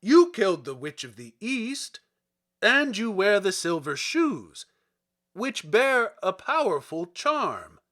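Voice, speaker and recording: Storybook wizard, a huge floating head played by a male reader: booming, imperious, raised pitch, slow and weighty delivery. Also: big pitch swings, a bit bright, full character voice. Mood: stern, imperious. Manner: imperious, emphatic, deliberate. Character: great disembodied wizard head, commanding, stern, terrible. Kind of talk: dramatic storybook character reading.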